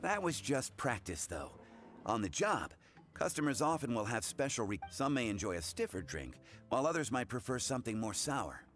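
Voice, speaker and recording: A man's voice: mid-range voice, very animated, moderate pace, fairly bright tone, faint music behind. A man playing a friendly, patient tavern bartender in a game, chatty and instructive. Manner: chatty, explanatory. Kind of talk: game cutscene voice acting.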